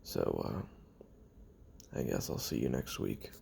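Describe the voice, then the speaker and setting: A man playing a weary, lonely young retired assassin who makes a halting, brooding confession on tape in an audio roleplay, with a gravelly, husky voice. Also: extremely low pitch, sing-song, warm tone, a little hiss or hum, heavily acted. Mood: melancholy, resigned. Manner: halting, brooding, trailing off with sighs and pauses.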